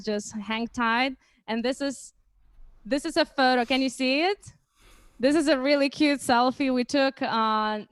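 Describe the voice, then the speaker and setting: A woman's voice: fairly high pitch, clear, resonant tone, no echo. A young woman hosting a casual livestream chat, speaking hesitantly and often restarting phrases. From Lithuania. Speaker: host.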